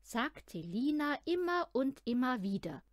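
Lady reading a kids story, unhurried, expressive, talks right to the child, close dry sound. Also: high-pitched, no echo. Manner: expressive, addresses the child listener. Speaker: audiobook reader. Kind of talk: scripted children's story narration.

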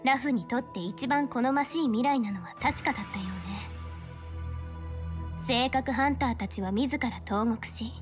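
An actress playing a stern young fortune-teller official in a game, speaking in a formal, measured, authoritative way. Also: female voice, a little high, music in the background, natural voice. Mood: analytical, composed. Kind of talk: scripted game character dialogue in Japanese dub.

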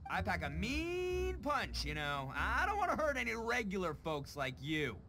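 A man's voice: high-pitched, huge pitch swings, normal speed, gravelly, pushed and bright, a little hiss or hum, full character voice. A man voicing a brash, boastful oni gang boss in a video game, blustering and theatrical. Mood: recovering, defiant. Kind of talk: video game character dialogue.

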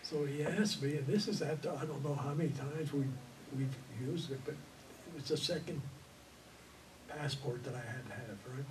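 A man's voice: a little high, normal speed. An older man, a Navy veteran, telling his memories in a rambling, chuckling way in an oral history interview. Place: indoor studio before green backdrop. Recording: distant mic, audible room noise.

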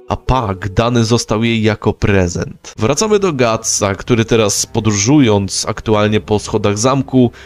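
A man narrating a scripted story recap. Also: lowish voice.

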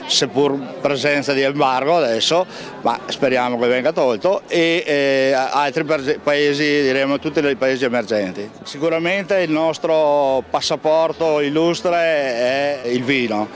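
A man's voice: medium pitch, measured pace. An older man at an outdoor expo, speaking hesitantly with many fillers into a handheld mic.

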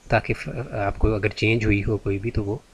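A man's voice: lowish voice, level tone, moderate pace, breathy edge. Patient man guiding a tutorial. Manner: patient, step-by-step, repeats "theek hai ji". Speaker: YouTube tutorial host. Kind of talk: screen-recorded tutorial walkthrough.

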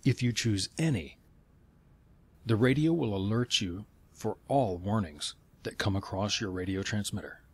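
A man reading scripted instructional narration in a measured, step-by-step way. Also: on the low side, animated, husky.

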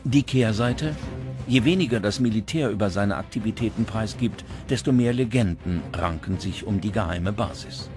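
Low-voiced male documentary narrator over music. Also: moderate pace. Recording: music under the voice. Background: background music.

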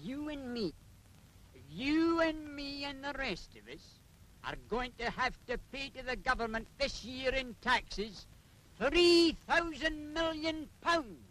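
A knowing Scottish man lectures in a high, swooping voice. He explains things slowly and emphatically, in the manner of a 1940s public information film. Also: expressive, a bit bright, heavily acted, low-quality recording. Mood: patiently explaining. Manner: didactic, emphatic, theatrical.

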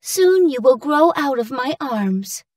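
A woman's voice: sits high, sing-song, takes it slowly, a little mellow, dry recording, studio quality, recorded from a distance, heavily acted. A woman playing a loving, pleading village mother in an English-language cartoon fairy tale. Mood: tender. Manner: tender, pleading. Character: loving, grieving old village mother of a gambler.

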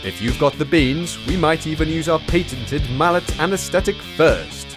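A man's voice: fairly high pitch, animated, normal speed, music in the background, close to an everyday voice. A man playing a pushy, declamatory announcer in a parody wasteland radio commercial. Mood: hard-selling. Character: brash, hard-selling wasteland advert announcer.

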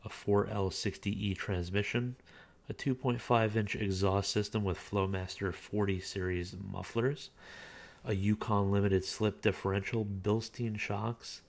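A man with a low, deep voice chats his way through a car listing, relaxed and unscripted. He reads bits of the listing aloud and drops in plenty of filler words as he goes. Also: a little slow. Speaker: car auction reviewer. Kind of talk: casual screen-recorded commentary.

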